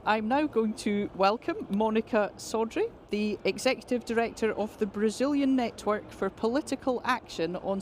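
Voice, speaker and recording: A woman's voice: medium pitch, slight background noise. A woman acting as panel chair, making formal introductions and handing over to speakers.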